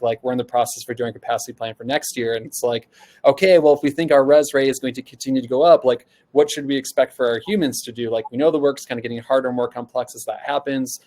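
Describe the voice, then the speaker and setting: A man's voice: mid-range voice, a little mellow. A man speaking as an expert guest in a casual webinar discussion, informal and conversational, often posing questions as he thinks. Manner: informal, conversational, poses rhetorical questions, frequent "like". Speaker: guest panelist, customer support expert at a software company.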